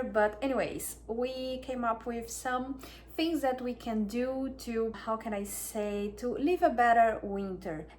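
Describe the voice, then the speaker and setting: A woman from Brazil with a Brazilian Portuguese accent, chatting to camera as a wellness vlogger, soft and unhurried, with frequent restarts. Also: a little high, a little hiss or hum. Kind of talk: casual talk to camera.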